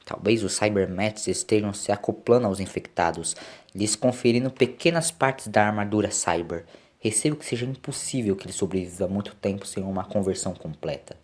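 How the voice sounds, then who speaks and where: A man playing a grave time-travelling doctor in an audio drama, explaining things in a measured way. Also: lowish voice, natural voice. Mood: grave, resigned. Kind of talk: audio drama story acting.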